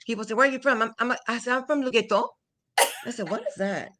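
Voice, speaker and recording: Bright-voiced chatty woman. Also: a little high, fast talker. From Houston, Texas. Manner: animated, chatty, laughs often, switches into French. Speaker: guest, language teacher. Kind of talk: casual interview chat.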